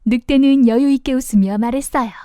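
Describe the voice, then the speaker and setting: A woman's voice: high-pitched, fairly quick, warm tone, clear, resonant tone, no echo, studio quality. A woman narrating a children's storybook as storyteller, expressively and simply for young listeners.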